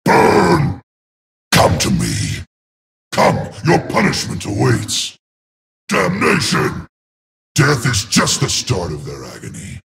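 A man's voice: lowish voice, wildly swooping pitch, slow pace, pauses often, background noise, full character voice. A man voicing a huge, menacing demon gatekeeper of hell in a video game, growling and theatrical. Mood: menacing, commanding. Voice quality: gravelly, growling. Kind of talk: video game champion voice lines.

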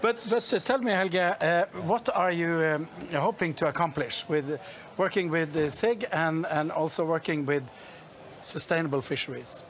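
A middle-aged man from Norway interviewing guests in a large expo hall, playful but halting with many fillers. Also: fairly high pitch, a bit bright, a little hiss or hum. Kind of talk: casual interview.

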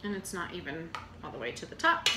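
A woman in her thirties casually showing her meal prep at home, talking in an unhurried way with many fillers. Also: lowish voice, huge pitch swings. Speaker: fitness coach vlogger. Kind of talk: casual how-to vlog. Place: home kitchen, indoors. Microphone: camera on the counter. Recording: echoey kitchen with room noise.

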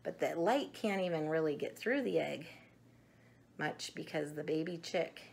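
A middle-aged woman chatting to young children in an animated, simple way in a room at home. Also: low voice, a little slow, gravelly, breathy edge. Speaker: storyteller showing children books and eggs. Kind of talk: casual chat to children.

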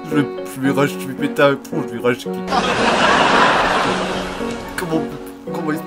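A man's voice: medium pitch, fairly even intonation, moderate pace. A man giving casual, crude gaming commentary, mocking and complaining in exasperation. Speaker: streamer playing a children's game. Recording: loud game music behind voice. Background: loud cartoon game music.

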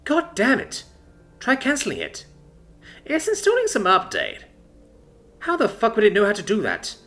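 A man's voice: high-pitched, wildly swooping pitch, normal speed, fairly bright tone, soft background music, pitched above the performer's own voice, exaggerated voice. A man voicing a brusque, exasperated female military captain who talks in curt, sarcastic lines.

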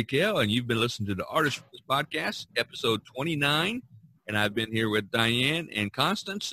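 A man's voice: medium pitch, pushed and bright, no room sound. A man hosting a podcast in a casual, rambling, chuckling way. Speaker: podcast host. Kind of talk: casual chat among artists.

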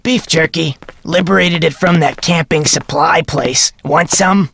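A voice actor playing a boastful, cocky thief henchman in a video game, smug and relaxed. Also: mid-range voice, measured pace, vocal fry, a little hiss or hum.

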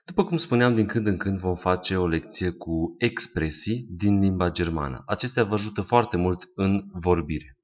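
A man teaching German phrases in a slow lesson, saying each phrase twice with the second repeat slower. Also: sits low. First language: Romanian. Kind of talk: slow language lesson with repetitions. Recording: dull lo-fi recording.

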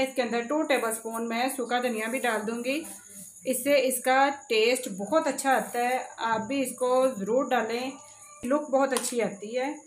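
A high-voiced woman talks viewers through a recipe one step at a time. She is recorded in an echoey home kitchen with a faint hum of noise in the background. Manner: instructive, addresses viewers directly. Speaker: cooking channel host.

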